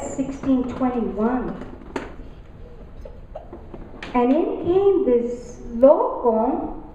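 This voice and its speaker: A woman's voice: sits high, warm tone. A woman from San Antonio, giving a rhythmic, emphatic public introduction of a poet at a university. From West Side of San Antonio, Texas. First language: Tex-Mex. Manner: rhythmic, repetitive oratory with emphatic rises. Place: university lecture hall. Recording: distant, echoey, muffled hall recording.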